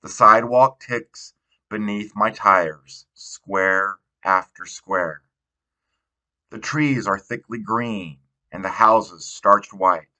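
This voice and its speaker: Male audiobook narrator, slightly low pitch, bright forward tone, reading teen fiction. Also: takes it slowly. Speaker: audiobook reader. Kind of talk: read narration of a young adult novel.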